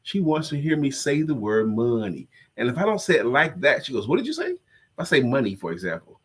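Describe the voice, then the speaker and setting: A man's voice: mid-range voice, very animated, fairly quick, breathy edge. A congested man co-hosting a casual live-stream chat, joking and self-deprecating. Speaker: co-host and coach.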